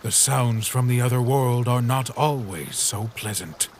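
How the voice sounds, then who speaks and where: A man's voice: mid-range voice, a little slow, slight background noise, close to an everyday voice. A man voicing a cryptic hooded ferryman in a fan comic dub, speaking in dreamy sing-song riddles. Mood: mysterious.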